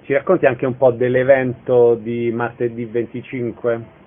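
A man's voice: mid-range voice, flat delivery, normal speed, narrow, tinny audio. A man hosting a radio programme, asking questions and announcing events. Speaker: radio host, interviewer.

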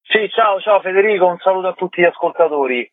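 A man's voice: sits high, quick pace, no room sound. A man, a stage actor and writer talking conversationally as an interview guest. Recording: tinny, dry phone line.

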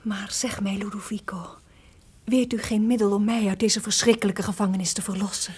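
Husky-voiced distressed noblewoman. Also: mid-range voice, steady tone, a little fast, darkish tone. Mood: anxious pleading. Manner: formal, pleading, impassioned. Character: distressed, defiant captive noblewoman. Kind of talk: theatrical radio drama acting.

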